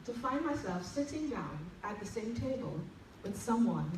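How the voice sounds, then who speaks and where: A woman's voice: on the high side, very animated, moderate pace. A woman with a Trinidadian accent, a nurse giving an enthusiastic tribute speech at an event. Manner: warm, enthusiastic and admiring. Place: large event hall. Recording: echoey hall, distant, noisy.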